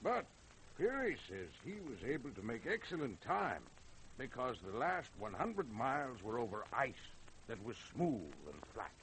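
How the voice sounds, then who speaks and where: A man's voice: mid-range voice, sing-song, a little slow, a bit bright. A man acting in an old radio drama as an argumentative, emphatic official, declaiming his lines. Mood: skeptical, explaining. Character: indignant, argumentative male congressman and brisk polar aviator. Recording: noisy old radio recording.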